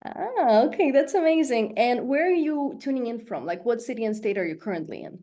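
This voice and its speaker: Upbeat higher-voiced woman host. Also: lively intonation, fairly quick, warm tone. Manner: upbeat, enthusiastic. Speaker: host and program founder. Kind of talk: scripted promo intro and interview questions. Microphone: video call.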